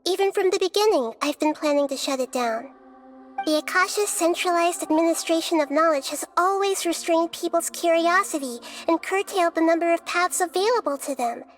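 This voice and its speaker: A woman voicing a small, childlike but wise goddess in a video game, speaking in a gentle, measured, formal way. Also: extremely high pitch. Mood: thoughtful, earnest.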